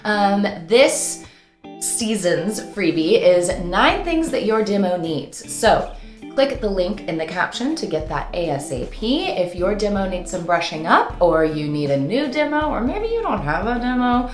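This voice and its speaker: A woman's voice: mid-range voice, big pitch swings, measured pace, reverberant, soft background music. A woman hosting an upbeat instructional vlog, talking informally and playfully with theatrical, sing-song flourishes. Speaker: host and voice-over coach.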